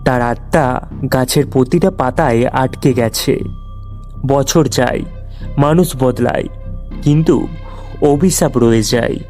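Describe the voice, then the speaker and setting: A man narrates a scripted horror story at a slow pace. His voice sits at a medium pitch, with music playing underneath. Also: darkish tone.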